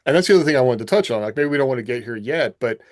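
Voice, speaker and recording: A man's voice: mid-range voice, expressive, rapid-fire, darkish tone, no echo, clean studio sound. A man co-hosting a podcast in a loose, informal chat full of conversational filler phrases. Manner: informal, peppered with "like" and "you know". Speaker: podcast co-host. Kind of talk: casual chat from personal experience.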